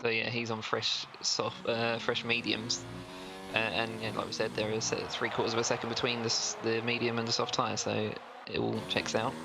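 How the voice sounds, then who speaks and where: A man's voice: lowish voice, completely flat delivery, moderate pace, creaky, over music. A man co-commentating an online race, reading out lap times and stats with many hesitant fillers. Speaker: statistics co-commentator. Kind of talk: sim racing commentary.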